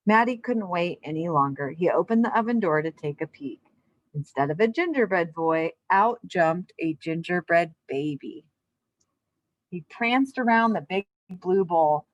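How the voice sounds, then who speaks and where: A woman's voice: on the low side, no echo, highs cut off. Woman teacher addressing young children, dry sound. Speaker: elementary physical education teacher. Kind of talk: children's lesson and storybook read-aloud.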